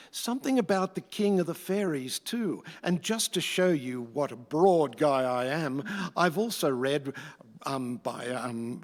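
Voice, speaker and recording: A man acting a fussy, bumbling villain from hell in a rhyming radio play, stammering and theatrical. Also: on the high side. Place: stage in a hall. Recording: hall with long reverb.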